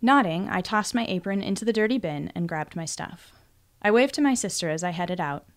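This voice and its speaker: A woman's voice: on the low side, lively intonation, a little fast. A woman reading first-person fiction as an audiobook narrator in a scripted storytelling style.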